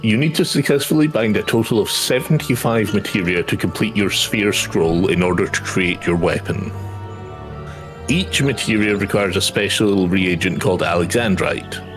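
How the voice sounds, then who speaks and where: A man's voice: fairly low pitch, moderate pace, faint music behind. A man chatting casually and reading game text aloud during a gaming session.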